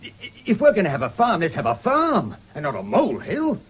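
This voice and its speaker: An actor playing a brash, ambitious young soldier, a cheeky and eager son, in a British radio drama. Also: male voice, high voice, wildly swooping pitch, brisk, breathy edge, full character voice. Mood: eager, pushy. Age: young adult. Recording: noisy old radio broadcast recording.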